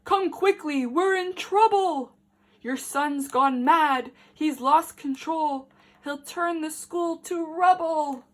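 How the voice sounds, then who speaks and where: A woman's voice: sits high, slow pace. A woman voicing an alarmed, outraged school headmaster in a children's storybook. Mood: panicked, urgent.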